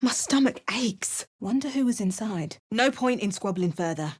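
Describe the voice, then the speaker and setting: A woman's voice: a little high, expressive, normal speed, husky. A young woman voicing a tough, dry-witted adventurer heroine in a fantasy video game, speaking in a wry manner. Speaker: player character voice.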